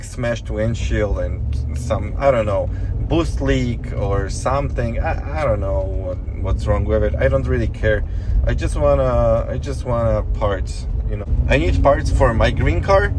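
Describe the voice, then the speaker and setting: A man from Poland with a Polish accent, rambling casually to the camera as a car-flipping vlogger, full of restarts and "you know what I mean.". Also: mid-range voice. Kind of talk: casual vlog talk to camera. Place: inside a car while driving. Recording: vehicle road noise.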